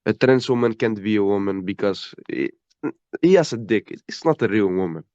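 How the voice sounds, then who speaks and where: A man's voice: fairly low pitch, animated, darkish tone, dry recording. Blunt guy stumbling over words, dry muffled audio. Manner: halting, repeats words, blunt and dismissive. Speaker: stranger in an online debate. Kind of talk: heated argumentative debate.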